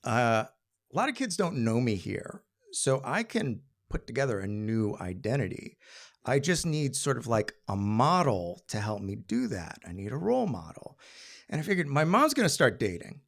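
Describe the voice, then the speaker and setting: An American man of middle age tells a personal story to a live audience. His mid-pitched voice is very animated, rising and dipping widely. He talks in a wry, conversational way and briefly acts out the people he quotes. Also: moderate pace, vocal fry. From Maryland, United States.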